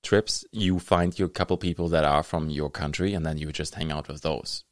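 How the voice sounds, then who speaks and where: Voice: extremely low pitch, fairly even intonation, normal speed, no echo, clean studio sound. A podcast host with a German accent, a native German speaker, chatting casually, laughing often and searching for English words. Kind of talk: casual conversational interview.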